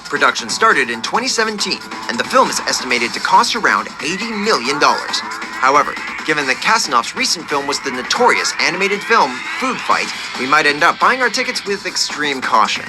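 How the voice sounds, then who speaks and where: Over prominent music, a man narrates a scripted countdown in a polished, wry way. His pitch is a little high and moves in a lively, animated range. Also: fairly quick. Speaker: video narrator. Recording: loud music under the voice.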